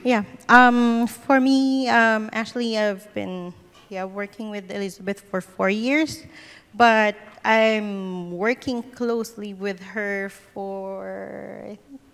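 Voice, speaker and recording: A woman's voice: mid-range voice, fairly even intonation, slow pace, creaky, fairly bright tone, clear, resonant tone. A woman speaking on a conference panel, nervous as a first-time public speaker. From Philippines. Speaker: panelist, application support manager. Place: large conference hall. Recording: long hall reverb through PA.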